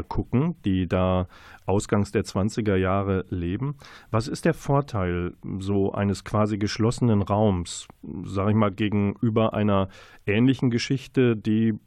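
A man's voice: on the low side, a little mellow, no echo, clean studio sound. A man hosting a literary interview, asking his questions with polite, considered phrasing. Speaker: radio interviewer, host.

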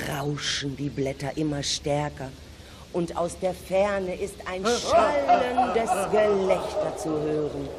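A radio play narrator with a very animated, dramatic delivery. The scripted storytelling moves at an unhurried pace, over faint noise and ambience. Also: mid-range voice. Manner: dramatic storytelling, addresses listeners.

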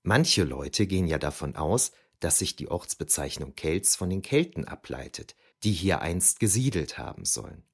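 A man's voice: deep voice, big pitch swings, moderate pace, creaky, hardly any pauses, slightly rough, full character voice. A man playing a reflective ancient historian who narrates memories of youth in a scripted, wryly explanatory way for an audio drama. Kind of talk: scripted first-person narration.

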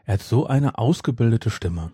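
A man's voice: medium pitch, animated, normal speed, mellow, breathy edge, no echo, clean studio sound. A middle-aged man in casual podcast conversation, making his points emphatically and repeating them for stress. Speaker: podcast host. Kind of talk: casual chat.

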